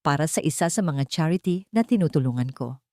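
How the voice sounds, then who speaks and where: A woman voicing a meek, submissive political wife in an audio storybook, soft-spoken and deferential. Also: sits low, animated, fast talker, dry recording, studio quality. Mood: hesitant pleading.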